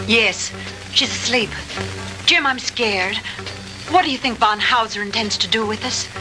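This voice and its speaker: An actress playing a scared, devoted young captive in a 1960s B-movie, sounding worried on an old film soundtrack. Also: female voice, a little high, unhurried, music in the background, lightly acted, poor audio. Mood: worried, gentle. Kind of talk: 1960s B-movie drama acting.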